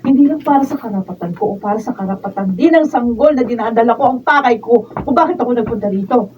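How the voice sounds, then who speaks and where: A woman's voice: a little high, fast, natural voice. A woman acting a polite but determined pregnant wife confronting an affair in a radio drama. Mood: pleading, firm. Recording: distant muffled mics, noisy raw studio video.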